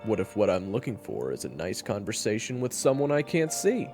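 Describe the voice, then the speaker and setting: Man as a gravelly, wry gunslinger. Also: fairly low pitch, wildly swooping pitch, fairly quick, over music. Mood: wry, probing. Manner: wry, challenging, casual. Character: wary gunslinging man, dry, bold, sardonic negotiator. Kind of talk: audio roleplay drama acting.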